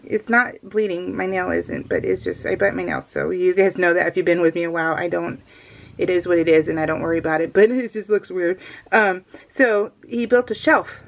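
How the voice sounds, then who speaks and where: A woman's voice: lowish voice, vocal fry. A middle-aged woman chatting casually as a craft vlogger, giggly and easily sidetracked as she rambles along. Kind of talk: casual chat, rambling vlog.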